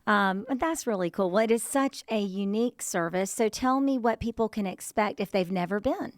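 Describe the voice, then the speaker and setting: A woman's voice: medium pitch, moderate pace, dry recording, clean studio sound. A woman co-hosting a podcast, chatting cheerfully and asking questions. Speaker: podcast co-host. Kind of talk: casual interview chat.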